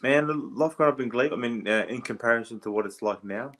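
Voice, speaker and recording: An Australian man in his thirties, a guest on a podcast, talking casually and hesitantly with many pauses and restarts. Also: medium pitch, fairly bright tone. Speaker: podcast guest, former rugby league player. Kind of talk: casual interview chat.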